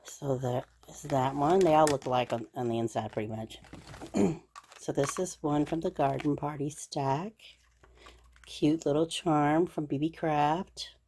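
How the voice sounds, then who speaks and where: A middle-aged woman with a low, slightly scratchy voice, chattering cheerfully about her craft supplies. She gushes and fills the gaps with "um"s. Faint noise sits behind her. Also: a little slow, warm tone. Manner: chatty and gushing, with frequent fillers. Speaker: craft channel host. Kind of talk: casual crafting show-and-tell.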